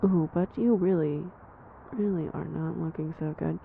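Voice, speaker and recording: A woman playing a snide, predatory vampire in an audio roleplay, mocking and teasing, with a breathy voice that slips into whispers. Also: low-pitched, fairly even intonation, normal speed, phone-quality sound, slight background noise. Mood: cruel, teasing. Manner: mocking, teasing, theatrically menacing. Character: snide, predatory female vampire toying with prey. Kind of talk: audio roleplay acting addressed to the listener.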